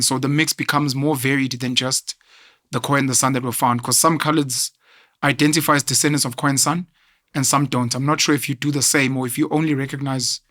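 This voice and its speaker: A man from South Africa hosting an interview and asking measured, probing questions. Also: mid-range voice, fairly bright tone. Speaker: interviewer host. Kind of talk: interview conversation.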